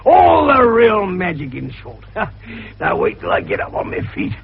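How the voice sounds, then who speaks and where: High, husky, wheezy old British detective doctor. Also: male voice, huge pitch swings, unhurried, full character voice. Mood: puffing, expansive. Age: older. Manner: avuncular, folksy, expansive, teasing. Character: jovial, shrewd, wheezy older amateur detective doctor, male. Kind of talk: 1950s British radio drama acting. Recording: dull vintage radio recording.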